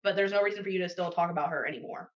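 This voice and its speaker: Fast-talking woman on a muffled phone call. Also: on the low side. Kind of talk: casual argumentative phone conversation. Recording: dull lo-fi phone call recording.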